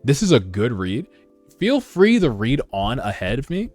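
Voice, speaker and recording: A man giving animated, slangy, sometimes profane reaction commentary as host of a read-along. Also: medium pitch, moderate pace, no room sound. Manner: casual, slangy, profane, excitable. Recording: background music under voice. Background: music.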